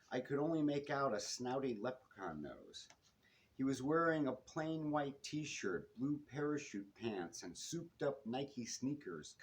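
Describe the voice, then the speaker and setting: Man reading a novel aloud, noisy room. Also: mid-range voice. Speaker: author reading own novel. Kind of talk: live reading of a detective novel. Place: public reading in a bookstore. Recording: noisy live room recording.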